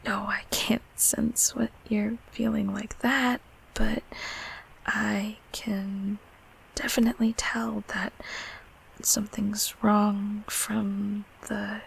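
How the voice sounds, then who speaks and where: A woman voicing a gentle, protective childhood closet monster in a comfort audio roleplay, speaking haltingly in a breathy, raspy whisper. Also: mid-range voice, flat delivery, slight background noise, poor audio. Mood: sheepish, gentle. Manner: halting, soothing, softly spoken.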